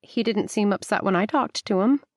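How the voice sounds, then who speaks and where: A woman's voice: mid-range voice, moderate pace, no room sound, close to an everyday voice. Woman voicing a stubborn young woman, earnest and a bit defensive, dry close sound.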